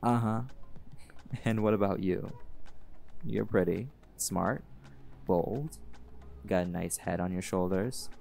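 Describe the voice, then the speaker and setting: A man's voice: deep voice, slow, creaky, pauses often, slight background noise, heavily acted. A man voicing a chill flirty jaguar shifter. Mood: laid-back. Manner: easygoing, teasing, relaxed drawl with laughs. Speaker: voice actor in audio roleplay. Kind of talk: audio roleplay, speaking to listener.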